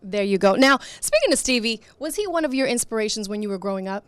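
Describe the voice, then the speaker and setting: A woman's voice: fairly high pitch, very animated, fast talker, a bit bright, smooth. A woman hosting a radio interview in a studio, upbeat and encouraging, with frequent short affirmations. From Puerto Rico. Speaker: radio host.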